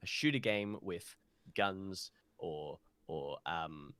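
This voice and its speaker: A man's voice: deep voice, slow, fairly bright tone, dry recording. A man co-hosting a podcast, casually explaining a video game in hesitant, piecemeal phrases. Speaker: podcast co-host.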